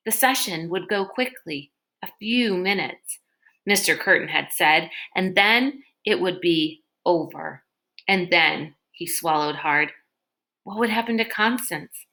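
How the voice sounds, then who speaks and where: Woman reading kids book out loud, slow pace, expressive storyteller, mid pitch. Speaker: read-aloud reader. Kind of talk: expressive children's book narration.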